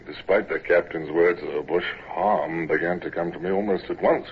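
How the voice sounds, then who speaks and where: A man's voice: sits low, normal speed, slightly rough. An actor playing a naval captain who narrates his youth as a shy, stammering midshipman, in an old radio drama. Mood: narrating. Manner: stammering when acting young, wry when narrating. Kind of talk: 1940s radio acting. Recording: tinny old radio broadcast with hiss.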